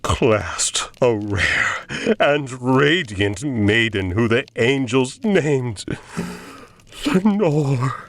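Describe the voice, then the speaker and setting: A man's voice: medium pitch, expressive, a bit bright, pitched below the performer's own voice, heavily acted. A man acting out a grieving, tormented man in a theatrical, anguished gothic poetry recital. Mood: desperate pleading. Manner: theatrical, anguished, drawn-out delivery. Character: grieving, tormented man pleading and raging at a raven.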